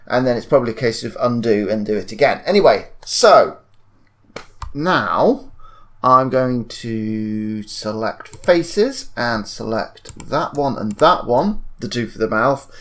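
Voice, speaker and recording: A man narrating a software tutorial in a casual, informal way, thinking aloud as he demonstrates. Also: medium pitch. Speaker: tutorial presenter. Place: indoors at a computer, screen-recording voice-over.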